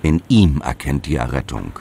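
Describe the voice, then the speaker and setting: An actor playing a stern, zealous apostle who preaches and commands in solemn scriptural language for an audio drama. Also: male voice, extremely low pitch, big pitch swings, moderate pace, creaky, dark tone, a little hiss or hum, heavily acted. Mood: condemning, severe. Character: Paulus, stern zealous male apostle, guardian of the faith.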